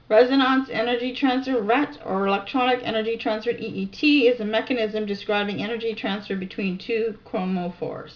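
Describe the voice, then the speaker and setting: Lady reading science stuff out loud, trips on big terms and fixes them, kinda muffled cheap audio. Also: medium pitch. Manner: halting over technical terms, self-correcting. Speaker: video narrator. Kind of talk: reading scientific text aloud with informal asides. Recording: dull lo-fi sound.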